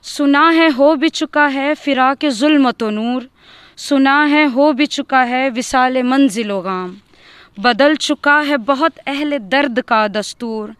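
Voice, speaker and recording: A woman reciting Urdu poetry in a measured, deliberate manner. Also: high-pitched, smooth, no room sound. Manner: measured, deliberate, repeating verses.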